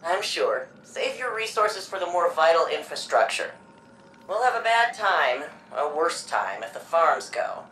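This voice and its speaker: Casting: nerdy futuristic-city archivist, game dialogue, lowish bright voice, informal and chatty. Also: female voice, big pitch swings, a little slow, slight background noise, heavily acted, poor audio. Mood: breezy, fond. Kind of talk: video game voice acting, exposition.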